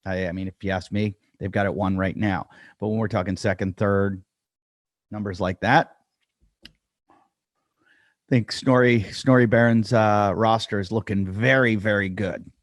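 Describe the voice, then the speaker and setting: A man in a dry, close-miked room hosts a casual podcast in a lowish voice. He rambles, jokes at his own expense and leans on fillers. It sounds like an unscripted chat to his listeners. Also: steady tone, unhurried, long pauses, no echo. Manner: rambling, self-deprecating, with frequent fillers. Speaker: podcast host.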